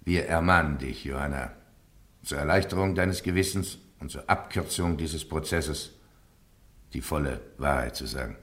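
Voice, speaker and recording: An actor playing a stern, formal bishop who presides over a trial in a solemn, measured, ceremonious way in a radio play. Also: male voice, a bass voice, a bit bright. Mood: quietly admonishing. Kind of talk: German radio play acting. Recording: echoey courtroom-like hall, radio drama.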